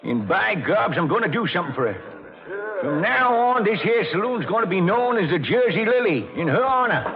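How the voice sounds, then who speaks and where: On a muffled vintage radio recording, an older frontier judge with a gravelly Western drawl. He is folksy and self-important, swinging his pitch widely as he hands down pronouncements like a saloon king. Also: sing-song, brisk, crowd noise. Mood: proud, proclaiming. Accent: American Western drawl. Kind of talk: old-time radio drama acting.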